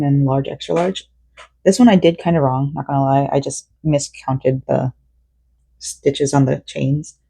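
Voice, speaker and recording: A woman's voice: sits low, mellow, no room sound. A tired young woman, born in South Korea and raised in Florida, chatting in a rambling way with many fillers while she crochets at home. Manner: rambling and chatty, with frequent fillers and self-corrections. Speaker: vlogger. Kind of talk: casual personal vlog chat while crocheting.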